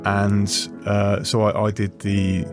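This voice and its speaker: A man's voice: lowish voice, completely flat delivery, slow, music in the background. A man, a guest engineer, talking casually in a rambling, self-correcting way with frequent restarts and easy laughs. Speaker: guest, former F1 designer. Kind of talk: casual interview chat.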